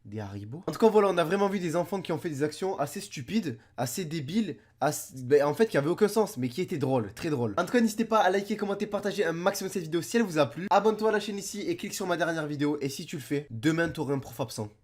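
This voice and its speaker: A man's voice: on the high side, lively intonation, racing, few pauses. A young man with a stuffy nose from a cold, rambling through playful, slangy, absurd comic commentary. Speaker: video commentator.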